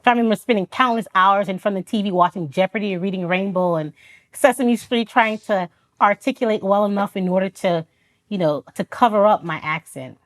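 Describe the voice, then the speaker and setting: A woman's voice: medium pitch, brisk. A woman from Florida whose first language is Haitian Creole, telling her personal story as a podcast guest in a reflective, earnest way. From Florida, United States. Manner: retells events with quoted dialogue, laughs often. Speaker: podcast guest, Army officer.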